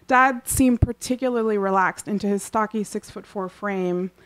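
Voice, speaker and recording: A woman from Kansas whose first language is Urdu, reading her personal essay aloud at a live book launch, with dry humor and offhand asides, clearing her throat now and then. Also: mid-range voice, moderate pace, rough recording. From Kansas, USA. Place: live literary event.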